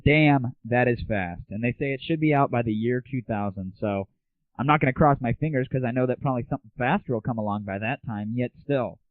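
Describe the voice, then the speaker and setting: A man speaks through a thin, phone-like recording with very little room sound. He hosts casually, delivering news in an informal, blunt, mildly crude way at an ordinary male pitch. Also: fairly quick, no room sound. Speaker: show host. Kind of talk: casual monologue, gaming news. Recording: tinny narrow-band phone-like audio.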